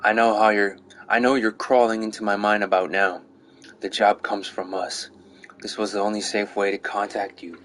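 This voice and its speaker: A man's voice: fairly low pitch, little pitch movement, normal speed, a bit bright, lightly acted. Man playing a muttering secretive male informant. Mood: urgent, secretive. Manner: muttering, urgent, plain-spoken. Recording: clearly noisy recording.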